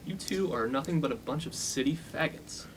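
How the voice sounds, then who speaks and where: Guy doing a dumb bossy frat bro, radio play, talks fast, blunt, bit of noise behind. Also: fairly high pitch, expressive, lightly acted. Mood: hostile. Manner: blunt, domineering. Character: bullying, dim young fraternity boss. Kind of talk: comedic radio play acting.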